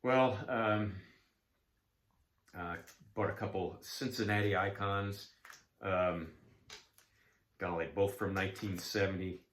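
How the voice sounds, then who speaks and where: Slow, deep-voiced man in his seventies. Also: steady tone, fairly bright tone, reverberant, a little hiss or hum. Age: 70s. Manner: rambling, frequent ums and uhs, folksy. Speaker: card collecting vlogger. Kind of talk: casual chat.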